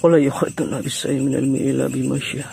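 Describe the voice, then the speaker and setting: A man's voice: medium pitch, flat delivery, fast talker, creaky, darkish tone. An Indonesian man with a cold, speaking as a night-time vlogger and reciting prayers outdoors. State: has a cold and flu, feeling weak. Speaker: ghost-hunting vlogger, live streamer. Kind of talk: casual vlog talk with murmured prayers. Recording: outdoor night insect noise. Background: crickets and insects.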